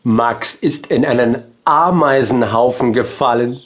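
A storybook narrator reading a children's tale in a deliberate, expressive way. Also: medium pitch. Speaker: audiobook narrator.

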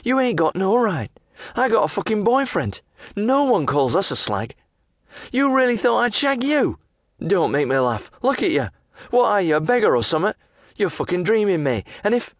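A man voicing a brash, coarse young woman who wheedles, taunts and flares up fast. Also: very animated, dry recording, clean studio sound. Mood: furious. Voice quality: shrill, coarse, mocking. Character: brash young woman, rough-mouthed, quick to anger.

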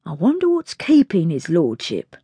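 A woman voicing a male butler in charge of the servants, crisp and authoritative, in a storybook reading. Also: lowish voice, wildly swooping pitch, normal speed, warm tone, no room sound, heavily acted. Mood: expectant.

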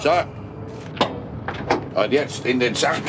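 An actor playing a menacing, commanding old necromancer villain in a horror audio drama, gloating and sinister. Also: male voice, mid-range voice, slow, heavy background noise, natural voice. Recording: echoey hall with long reverb, loud music.

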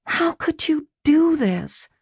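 A fed-up woman shop owner with a dry, cutting tongue in an audiobook, as the reader plays her. Her voice swings up and down in pitch, and it is recorded close and dry. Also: sing-song, slow, a little mellow, dry recording, heavily acted. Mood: sardonic.

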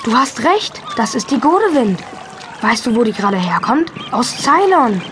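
High-pitched eager harbour child. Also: female voice, very animated, moderate pace, background noise. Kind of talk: children's audio drama. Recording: watery harbour ambience behind.

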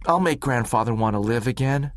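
A man reading aloud and voicing a determined, stubborn ten-year-old farm boy who pleads and shouts. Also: medium pitch, a little fast, no echo, studio quality, natural voice.

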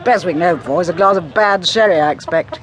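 An actress playing a brusque, no-nonsense English countrywoman in a radio drama, gruff, curt and clipped. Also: fairly low pitch, quick pace, close to an everyday voice. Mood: bossy, grumbling. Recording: noisy outdoor farmyard ambience.

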